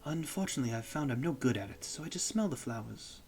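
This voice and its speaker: A man voicing a gentle, polite blind young woman speaking softly on the phone. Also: medium pitch, lively intonation, fast talker, slight background noise, voice pushed up, lightly acted. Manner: soft, courteous phone talk.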